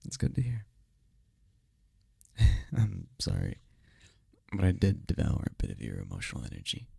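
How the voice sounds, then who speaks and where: A man acts out an incubus lover comforting his partner in an intimate ASMR roleplay. His voice is dark and husky, recorded very dry and close. He is soft-spoken and tender, with a playful, teasing streak and a lot of melodic rise and fall. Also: wildly swooping pitch, normal speed, dry recording, heavily acted. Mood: tender, soothing. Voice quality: breathy, gravelly low voice. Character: tender, teasing male incubus demon boyfriend.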